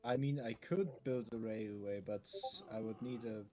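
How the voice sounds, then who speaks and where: A man's voice: on the low side, normal speed, mellow. German-accented man in casual game chat. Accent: German, with a slight Swedish tinge. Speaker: co-player on a game stream.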